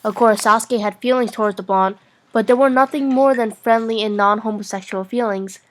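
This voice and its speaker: A woman's voice: a little high, a little fast. A young woman narrating fan fiction as an amateur dramatic reading, playful and sometimes stumbling over her lines.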